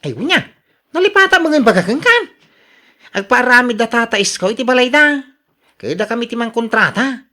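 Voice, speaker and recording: Radio drama role: protesting Ilocano spouse played by a woman, fairly high, sharp voice, exclamatory and querulous. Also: very animated, normal speed, long pauses. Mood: defensive.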